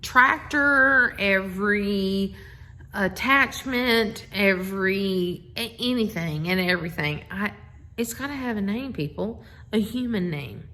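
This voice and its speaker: Middle-aged woman, slow pace, medium pitch, casual folksy rambling, phone recording. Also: sharp tone. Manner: folksy, effusive, rambling with asides. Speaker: host of a family social media video. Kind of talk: casual, chatty introduction to a bedtime story reading. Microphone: handheld phone.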